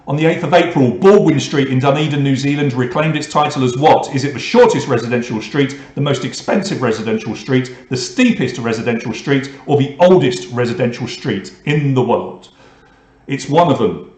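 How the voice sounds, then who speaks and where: A man from England hosts an online pub quiz, reading out questions and running scores. He breaks off often to tease the players with dry jokes. His voice sits at a middle pitch and echoes around the room. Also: expressive, normal speed, darkish tone. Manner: reads questions and scores, joking asides to players. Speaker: quiz host.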